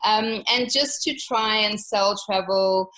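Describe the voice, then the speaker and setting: A woman in her thirties from South Africa with a South African accent, a travel company owner answering interview questions in a relaxed way, with many ums. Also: medium pitch, poor audio. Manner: soft-spoken, many ums, unhurried. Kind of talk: casual interview.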